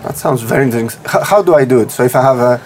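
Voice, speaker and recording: A man hosting a podcast, reading a scripted welcome and then asking questions in a halting way with uh fillers. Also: medium pitch, darkish tone, slight background noise. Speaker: podcast host.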